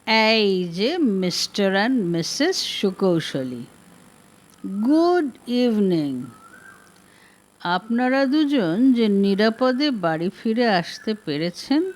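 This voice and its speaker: A woman's voice: mid-range voice, big pitch swings, extremely slow, pauses often. A woman reading an audiobook as an older male barrister, tipsy and exasperated, with sarcastic, theatrical mock formality. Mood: tipsy sarcasm. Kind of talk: audiobook detective story reading.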